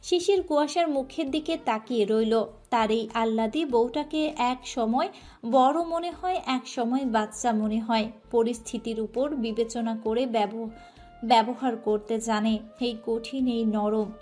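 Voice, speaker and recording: A woman narrating a scripted romantic audio story in a soft, emotive way. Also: on the high side, fairly even intonation, a little slow, soft background music.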